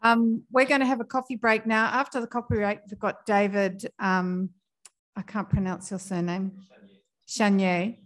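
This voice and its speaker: A woman of middling pitch chairs a conference session, announcing breaks and introducing speakers. She is informal and a little halting, with frequent fillers. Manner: informal, hesitant, many fillers. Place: conference room.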